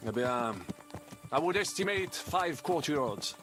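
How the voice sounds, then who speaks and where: An actor playing a worldly, pragmatic French mercenary in a medieval video game, lecturing in a French accent peppered with French phrases. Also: male voice, fairly high pitch, animated, takes it slowly. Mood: matter-of-fact. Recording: loud game music behind.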